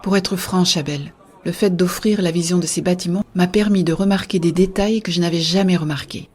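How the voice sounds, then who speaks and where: A woman playing an earnest, idealistic young-hearted believer in a scripted science-fiction audio drama, with a husky, soft delivery. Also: fairly low pitch, fairly even intonation, a little fast. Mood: earnest, persuasive. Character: Béa, earnest idealistic woman defending a shared-consciousness network. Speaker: voice actor narrating in first person.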